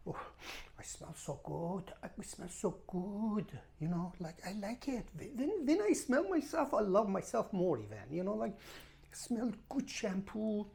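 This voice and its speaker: A woman's voice: medium pitch, sing-song, measured pace, vocal fry, mellow. A woman who is a non-native English speaker, ranting casually to camera as a travel vlogger in an emphatic, repetitive, exasperated way. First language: not English. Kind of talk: casual rambling rant to camera.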